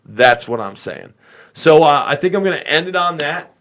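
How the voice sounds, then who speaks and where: A man's voice: on the high side, lively intonation, normal speed, a bit bright. An American man doing off-the-cuff livestream political commentary, talking to viewers in an opinionated, exasperated, emphatic manner.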